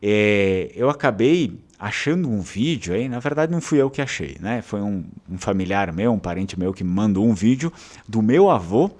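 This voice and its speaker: A man's voice: lowish voice, very animated, normal speed, a little mellow. A man from southern Brazil with a southern Brazilian Portuguese accent, hosting his channel in a casual, rambling talk full of fillers and restarts. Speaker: channel host.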